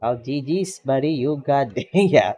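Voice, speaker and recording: A man's voice: medium pitch, lively intonation, unhurried, warm tone, soft background music. A man streaming a game as a VTuber, chatting casually with a friend, laughing often and reacting to game results. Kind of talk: casual gaming stream chat.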